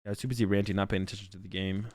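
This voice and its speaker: A man's voice: fairly low pitch, little pitch movement, very fast, a little mellow, no room sound. A man who is sick and losing his voice, rambling through casual stream commentary with lots of fillers. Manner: rambling, filler-heavy, thinks aloud.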